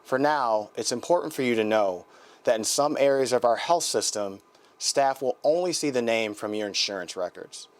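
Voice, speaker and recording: A man's voice: medium pitch, lively intonation, normal speed, no echo, close to an everyday voice. A man with an American accent playing a polite, helpful clinic receptionist in a scripted training role-play call. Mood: reassuring, explanatory. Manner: courteous, accommodating, procedural.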